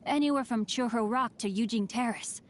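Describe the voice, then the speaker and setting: A woman voicing a bookish, sly teenage boy martial artist from a video game, speaking in formal, articulate, measured phrases. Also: a little high, natural voice. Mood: poised, wry. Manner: formal, articulate, measured, old-fashioned wording. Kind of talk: scripted video game character voice lines.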